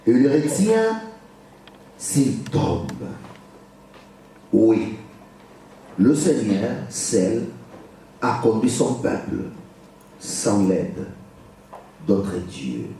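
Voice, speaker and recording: A man preaching a church sermon in French with a Portuguese accent, exhorting the congregation and bursting into shouted emphasis. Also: lowish voice, big pitch swings, extremely slow, pauses often, a little hiss or hum. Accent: Portuguese-speaker's French. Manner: exhorting, urging the congregation to answer louder. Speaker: guest preacher. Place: church service. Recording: very echoey church hall, mic at a distance.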